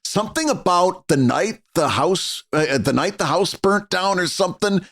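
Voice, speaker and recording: Male co-host, crisp popped P's, animated, slightly raised pitch. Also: moderate pace. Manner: crisp, strongly enunciated, stressed P sounds. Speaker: podcast co-host. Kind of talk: casual chat.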